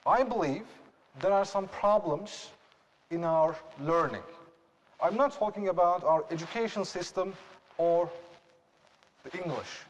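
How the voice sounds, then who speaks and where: A young man with a Turkish accent giving a slow, deliberate classroom presentation and asking rhetorical questions. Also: high-pitched, long reverb tail, dull audio, slight background noise. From Turkey.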